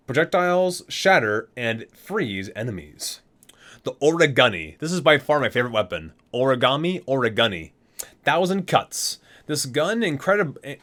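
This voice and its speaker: A man's voice: medium pitch, a bit bright. A man hosting an ASMR video, reading aloud and dropping in casual asides, savouring the titles as he goes.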